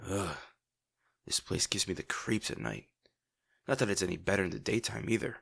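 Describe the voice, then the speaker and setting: A young man playing a brash teenage bully with a secretly caring side in a dramatic audio roleplay, speaking gruffly with a husky, breathy voice, swearing and sighing. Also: low-pitched, a bit bright, full character voice. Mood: muttering, irritated. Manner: gruff, swearing, sighing, halting when upset.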